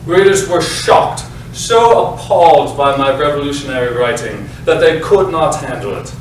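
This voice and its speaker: A man's voice: fairly high pitch, lively intonation, natural voice. A man playing a pompous playwright in an echoey hall. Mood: grandiose, solemn. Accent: British. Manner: grandiose, melodramatic, dramatic pauses. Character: pompous, self-important playwright addressing his audience. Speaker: actor. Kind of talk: theatrical monologue at a staged reading. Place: live staged reading, echoey indoor space. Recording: echoey room, distant mic, faint noise.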